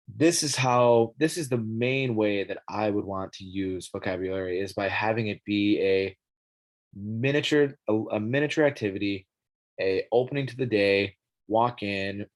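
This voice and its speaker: A young man with a somewhat low voice, scratchy from a cold, talking through a casual classroom tech presentation. He stumbles and restarts often, clearing his throat between thoughts. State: scratchy voice with coughing. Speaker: student teacher.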